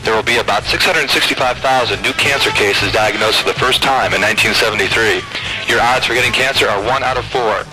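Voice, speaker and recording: A man's voice: on the low side, fairly quick, low-quality recording. An American man reading a scripted health charity announcement for radio. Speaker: cancer charity spokesman. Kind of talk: scripted public service announcement. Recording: 1970s radio spot with music behind.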